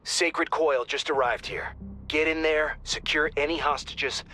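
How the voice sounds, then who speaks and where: An actor playing a joking squad handler on comms in a game, wry and lively in delivery. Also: male voice, on the high side, unhurried. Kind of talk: game cutscene voice acting.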